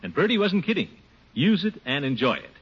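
Jovial middle aged radio comedy blowhard, folksy and chuckly, muffled old 1950s recording, hissy. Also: male voice, on the high side, sing-song, brisk. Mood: jovial, sincere. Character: jovial, self-satisfied middle-aged man, comic radio blusterer.

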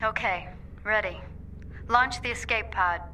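A woman's voice: medium pitch, measured pace, background noise, lightly acted. An actress playing an earnest, determined young Jedi woman in an audio drama, serious and resolute. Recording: slightly distant over background music.

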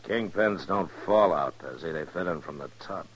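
An actor playing a steady, laconic frontier marshal in a 1950s radio western, speaking in a dry, understated way. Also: male voice, deep voice, normal speed, breathy edge. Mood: firm, measured. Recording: muffled old radio recording, noisy crowd.

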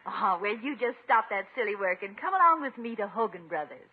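A woman's voice: mid-range voice, sing-song, slightly rough, phone-quality sound. An actress playing a coaxing, playful lady friend in a 1950s radio comedy, with a cooing, flirtatious manner. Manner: cooing, drawn-out, coquettish. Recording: thin, band-limited 1950s radio.

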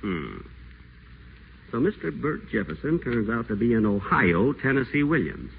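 A man's voice: mid-range voice, very animated, normal speed, creaky. An actor playing an acerbic, scheming, pompous man in a wheelchair in a 1940s radio play, with a sardonic, theatrical delivery. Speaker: radio celebrity. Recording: tinny old radio broadcast, faint hiss.

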